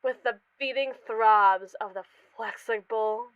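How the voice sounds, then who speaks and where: A woman's voice: a little high, slow pace, no echo, lo-fi sound. A woman playing a pompous, callous space mogul in a scripted, theatrical ASMR roleplay monologue, condescending to the colonists beneath her. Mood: grandiose. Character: haughty, callous female space mogul lording over colonists.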